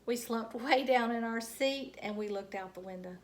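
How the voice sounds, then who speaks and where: A woman reading a children's book aloud in an expressive, animated storytelling style with dramatic emphasis. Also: fairly high pitch, moderate pace, a little hiss or hum.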